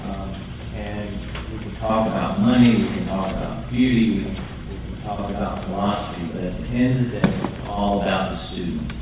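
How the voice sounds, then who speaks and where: A man chairing a board meeting, speaking in measured, hesitant remarks with frequent ums. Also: low voice, fairly even intonation. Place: large meeting hall. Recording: echoey hall, distant mic, noise.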